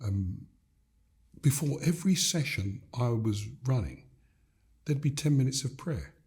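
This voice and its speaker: An older man from Gambia, a leadership coach, talking in an interview in a measured, emphatic way that builds points through repeated phrases. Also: medium pitch, expressive, darkish tone. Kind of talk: reflective interview conversation.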